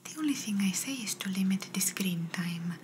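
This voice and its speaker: Softly whispering, gentle woman doctor, acted by a woman. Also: mid-range voice, animated, moderate pace, close to an everyday voice. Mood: gentle, reassuring. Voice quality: soft, breathy whisper. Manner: gentle, reassuring, slightly non-native English phrasing. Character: gentle, attentive woman doctor giving an ear exam. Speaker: ASMR performer. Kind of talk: ASMR medical exam roleplay, whispered. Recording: noisy close-up whisper recording.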